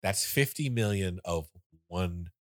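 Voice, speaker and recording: A man with a deep voice chatting casually and animatedly as a co-host, often laughing and breaking into bits of song. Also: takes it slowly, no room sound, clean studio sound. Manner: animated, sings snippets of songs, laughs often. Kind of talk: casual chat.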